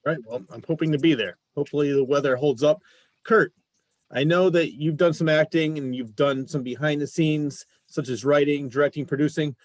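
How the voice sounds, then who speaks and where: A man's voice: a little high, steady tone, moderate pace, no room sound. A man hosting a casual interview, talking in an encouraging, complimentary way. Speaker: show host, interviewer.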